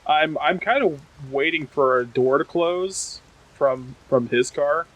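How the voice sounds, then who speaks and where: A man talking casually as a tabletop game player, stating his moves in an informal, deliberate way. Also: a little high, a bit bright, highs cut off. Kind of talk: casual game play talk.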